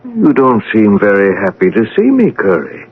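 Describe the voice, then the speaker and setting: An actor playing a gentle, apologetic older father speaking from beyond death in an old-time radio drama, mild and patient. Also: on the low side, wildly swooping pitch, normal speed, phone-quality sound, a little hiss or hum. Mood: gentle, conciliatory. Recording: band-limited radio drama recording.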